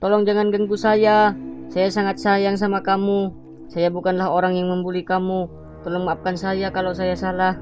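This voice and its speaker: A man acts out a young male college student in a cartoon, skeptical yet easily scared. His voice sits fairly high, with a Jakarta accent and an expressive, colloquial delivery. Light music runs underneath. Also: normal speed, lo-fi sound, close to an everyday voice. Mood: pleading. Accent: Jakarta Indonesian. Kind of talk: animated cartoon dialogue.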